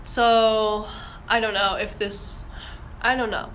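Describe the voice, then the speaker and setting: A woman's voice: mid-range voice, flat delivery, takes it slowly, fairly bright tone, slight background noise, rough recording. A young woman hosting a casual podcast discussion in an informal, hesitant way. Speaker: podcast host.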